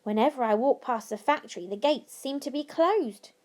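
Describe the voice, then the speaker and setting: Storybook small boy, played by a woman reader, high voice, earnest, eager and full of questions. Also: normal speed, darkish tone, natural voice. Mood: hesitant, puzzled. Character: curious, earnest little boy hanging on every word.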